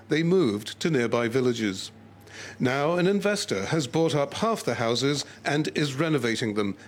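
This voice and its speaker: A man's voice: mid-range voice, slightly rough. A man narrating a scripted television news report as a correspondent.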